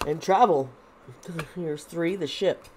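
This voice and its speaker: Slow, congested woman reading tarot. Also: low-pitched, darkish tone, a little hiss or hum, rough recording. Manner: rambling with many restarts and fillers. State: congested, losing her voice. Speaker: tarot reader. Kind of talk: casual tarot reading to camera.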